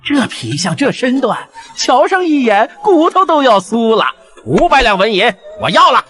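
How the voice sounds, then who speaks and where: A man playing a lecherous, sharp-voiced thug. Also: very high voice, big pitch swings, normal speed, heavily acted. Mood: leering. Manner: leering, brash. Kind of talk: Chinese period animated drama dubbing.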